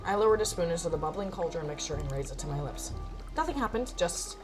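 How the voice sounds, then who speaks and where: A woman's voice: fairly low pitch, lively intonation, fast, close to an everyday voice. A woman voicing a witch's cat apprentice, a wry, self-deprecating narrator reading a visual novel expressively. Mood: dramatic. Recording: faint music behind.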